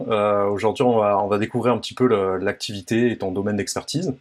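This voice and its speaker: A young man hosting an interview, firing off quick either-or questions in a friendly, hesitant way, with many filler sounds. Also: fairly low pitch, fairly even intonation. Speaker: interview host.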